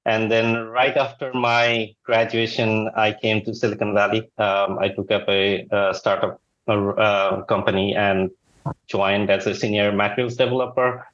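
A man's voice: fairly low pitch, flat delivery, a little slow, fairly bright tone. A man in his forties from Bangladesh, answering interview questions in an earnest, polite way. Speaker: guest engineer. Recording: dull lo-fi video call.

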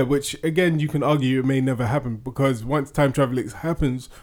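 A man co-hosting a podcast in a loose, casual chat. He wanders through his points with restarts and fillers and laughs often. His voice sits at an ordinary middle pitch. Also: darkish tone. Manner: rambling, hesitant, full of restarts and fillers. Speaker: podcast co-host.

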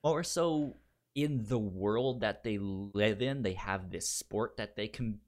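A man's voice: lowish voice, slow, darkish tone. A man chatting casually as a podcast host giving recommendations, stuttering and repeating syllables and words as he goes. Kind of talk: casual film chat.

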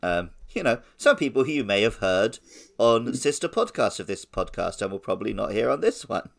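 Man, strong vocal fry, low pitch, casual conversation, gaming podcast co-host. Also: expressive, fairly quick.

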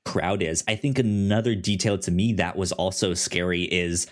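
A man co-hosting a casual film discussion, speaking haltingly with frequent stammers and repeated words, sometimes animated. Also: deep voice. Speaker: podcast co-host.

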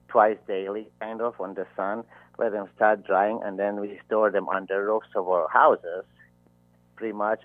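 A man's voice: on the low side, no echo. Bosnian-accented man on a tinny phone line. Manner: halting, searching for words in non-native English. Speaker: phone-in interview guest. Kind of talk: conversational interview answers. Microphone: telephone.

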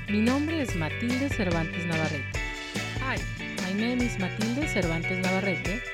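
Young woman hosting a podcast interview. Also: mid-range voice. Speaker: podcast host and producer.

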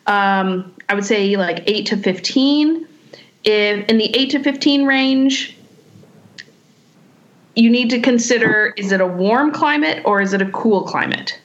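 Woman talking wine, mid pitch, stops and restarts a lot, kinda muffled audio. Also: unhurried. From California. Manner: thoughtful, many fillers and restarts. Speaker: sommelier guest. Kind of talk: casual interview answers.

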